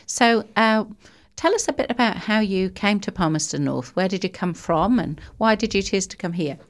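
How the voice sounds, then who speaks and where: A woman's voice: mid-range voice, expressive, moderate pace. Friendly woman interviewer, encouraging. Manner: encouraging, frequent short backchannels. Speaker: interviewer, host. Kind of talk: casual interview.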